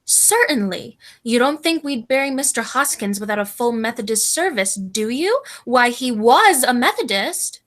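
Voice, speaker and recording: A proper little old aunt played by a teenage girl in a radio play. She is sweet and matter-of-fact, speaking in a high-pitched voice. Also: lively intonation, normal speed, few pauses, fairly bright tone. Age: teens. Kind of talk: school radio play acting.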